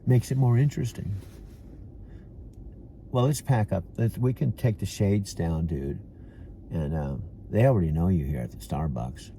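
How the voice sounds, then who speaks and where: Low, dark-voiced older Californian man. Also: lively intonation, normal speed, pauses often, slight background noise. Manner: talks to himself as "dude", many restarts. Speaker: vlogger. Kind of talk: rambling self-talk monologue. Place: parked car.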